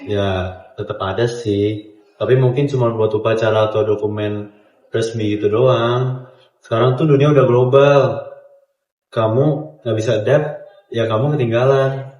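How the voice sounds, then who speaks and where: A man's voice: medium pitch, steady tone, moderate pace, darkish tone, natural voice. A young man acting a stubborn male student in an amateur school skit, chatting casually and dropping English words into his speech. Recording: roomy, dull, music underneath.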